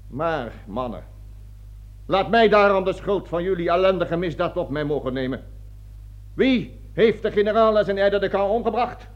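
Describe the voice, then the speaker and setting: An actor playing a pompous, fanatical army colonel with a declamatory manner in a satirical radio play. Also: male voice, sits high, expressive, moderate pace. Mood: bombastic, accusing. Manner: declamatory speeches, barking orders, stammering when flustered. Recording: dull lo-fi radio drama recording.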